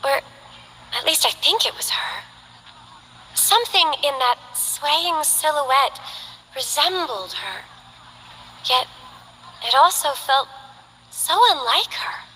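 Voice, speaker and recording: A woman voicing a timid, devout young acolyte who talks softly and apologizes often. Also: sits high, lively intonation, slow pace, pauses often, slight background noise, full character voice, rough recording. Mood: pensive. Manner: soft, hesitant, apologetic. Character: gentle young female acolyte of a holy order, timid and devout. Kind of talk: game character voice lines.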